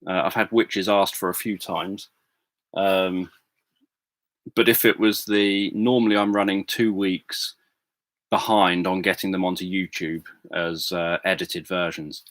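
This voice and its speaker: A man with a very low voice talks softly and hesitantly to his viewers, often pausing on "um" and starting over. He is recorded close up in a dry room with no echo. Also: steady tone. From England. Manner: hesitant, many fillers and restarts. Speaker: livestream host. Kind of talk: casual livestream chat answering viewers. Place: home room.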